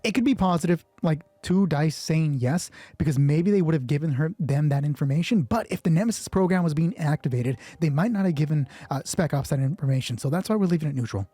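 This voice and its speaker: A man with a slightly high, husky and mellow voice plays a solo tabletop game aloud. He narrates casually and swears freely while reasoning through each ruling, with music playing underneath. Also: expressive, fast, hardly any pauses, no echo. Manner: foul-mouthed, talks to himself through rulings. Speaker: solo roleplay player and narrator.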